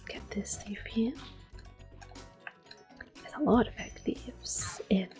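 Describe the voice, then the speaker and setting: Hushed, whispering woman doing ASMR gaming. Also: medium pitch, music in the background. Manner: gentle, unhurried, hushed. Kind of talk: soft-spoken, whispered ASMR game commentary.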